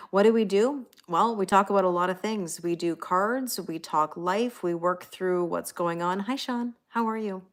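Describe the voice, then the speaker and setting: A woman's voice: mid-range voice, moderate pace, few pauses. A middle-aged woman hosting a tarot livestream, chatting casually and thanking viewers by name.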